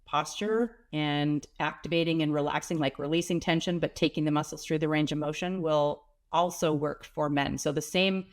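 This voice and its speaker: A woman's voice: low voice, fairly even intonation, normal speed, hardly any pauses. A woman with a stuffy nose from a cold, a pelvic health expert speaking as an interview guest. She explains in a practical, plain-spoken way.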